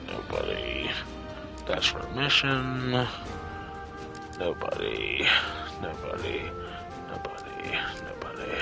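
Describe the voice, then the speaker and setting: A man's voice: a bass voice, gravelly. A man doing casual live gameplay commentary as a streamer, offhand and often muttering to himself. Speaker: game streamer.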